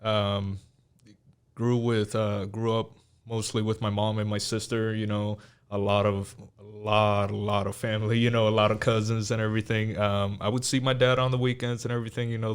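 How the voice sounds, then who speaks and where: Low, flat-toned man with a smooth voice. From Puerto Rico. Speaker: guest, Marine veteran. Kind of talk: casual interview chat.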